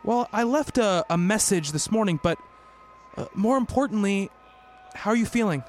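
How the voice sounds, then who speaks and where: An actor playing a concerned, skeptical doctor who narrates his investigation in a measured, formal radio play style. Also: high-pitched, expressive, a little hiss or hum. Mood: concerned, earnest.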